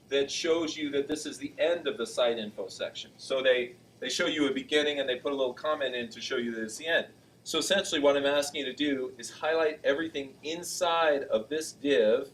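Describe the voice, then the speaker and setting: A male teacher with a loud, carrying voice at an ordinary pitch leads a class. He talks casually and keeps checking that everyone is following. He is in a classroom and walks around as he talks. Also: slight background noise, low-quality recording. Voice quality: loud, projecting voice. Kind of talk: classroom lesson, walking around.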